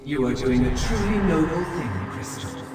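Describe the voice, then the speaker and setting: A man's voice: on the high side, very animated, normal speed. A man voicing a manipulative demon mastermind in a fantasy game, condescending and assured, in heavy echo. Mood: smug. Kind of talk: fantasy game cutscene villain acting. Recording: very echoey, distant, music underneath.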